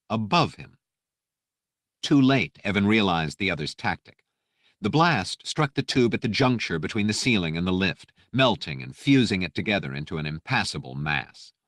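Man, low-pitched, dry close studio sound, measured delivery, dramatic audiobook narration. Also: fairly bright tone, no room sound.

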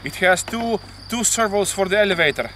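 A man's voice: high-pitched, animated, brisk, bright, forceful tone. A man with a Slovenian accent chatting casually about his model plane outdoors on an airfield, tagging his lines with "eh?". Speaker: RC pilot. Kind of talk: casual chat. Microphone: camera mic, outdoors. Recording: outdoor noise, crickets.

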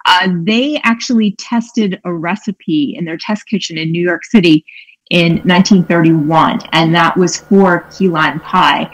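A woman's voice: on the low side, rough recording. A middle-aged woman, a cookbook author, chatting about recipes from her home kitchen on a video call, with frequent fillers. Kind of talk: conversational cooking demo. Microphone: webcam on a video call.

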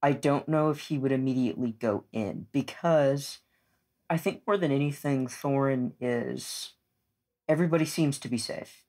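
A man playing a tabletop game, casually and deliberately thinking aloud as he describes his character's actions. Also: mid-range voice, a little mellow.

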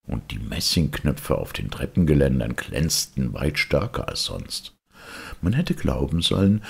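A man reading a fairy tale as an audiobook, in an expressive storytelling manner. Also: extremely low pitch, gravelly, breathy edge. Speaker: audiobook reader. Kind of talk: scripted fairy-tale narration.

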